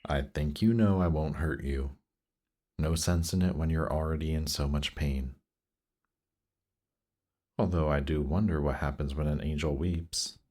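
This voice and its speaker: A man playing a seductive demon prince in an intimate roleplay audio drama, teasing and coaxing in an unhurried way. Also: rumbling low, fairly even intonation, darkish tone.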